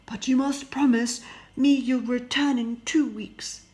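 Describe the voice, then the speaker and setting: A woman voicing a gentle, lovelorn male fairy-tale Beast, earnest and grave, for a storybook. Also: high voice, steady tone, a little slow, voice pushed up.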